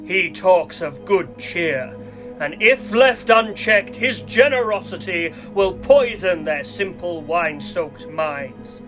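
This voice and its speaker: Evil santa tyrant in a radio play, high pitched for a man, slow pompous speeches, bit of music behind. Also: animated, a bit bright, heavily acted. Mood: menacing, grandiose. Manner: pompous, theatrical oratory.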